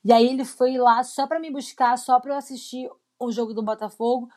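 Woman, young adult, Rio Brazilian accent, fairly high voice, casual anecdote telling, slangy. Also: fairly even intonation, a little fast, a little mellow. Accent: Rio de Janeiro Brazilian. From Rio de Janeiro, Brazil. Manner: slangy storyteller, acts out quoted lines. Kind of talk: casual chat, animated anecdotes.

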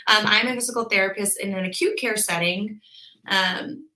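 A woman's voice: medium pitch, moderate pace, pushed and bright, rough recording. A woman in her twenties, a physical therapist, chatting casually as a podcast guest and explaining her work with many "like"s and "um"s. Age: 20s. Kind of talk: casual interview chat.

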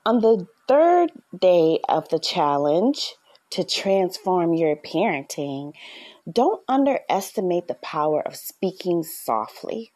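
A woman hosting a podcast, giving parenting advice in a soft-spoken, earnest, conversational way. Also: lowish voice, very animated, takes it slowly. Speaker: podcast host. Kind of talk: casual advice talk.

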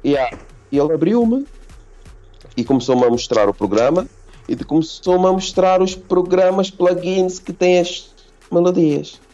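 A man's voice: high voice, a little slow, dull audio, faint music behind. A man from Portugal, a beat producer rambling casually in a livestream interview and saying yeah a lot. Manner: relaxed, rambling, says yeah often.

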